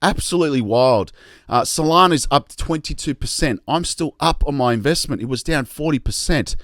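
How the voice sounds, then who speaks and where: Man, Australian accent, vlog host, excitable, chatty, tired, voice going, dry close sound. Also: mid-range voice, a little fast, no room sound. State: tired, losing his voice. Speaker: crypto YouTube host. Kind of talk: casual investing vlog chat.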